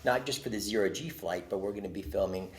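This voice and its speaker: Earnest low-voiced man from Jordan. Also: fast. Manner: earnest, expressive storyteller. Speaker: podcast guest. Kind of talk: casual conversation.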